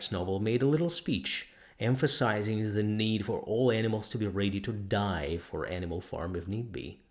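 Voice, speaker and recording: A man reading a novel aloud as scripted dramatic narration. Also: lowish voice. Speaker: audiobook narrator.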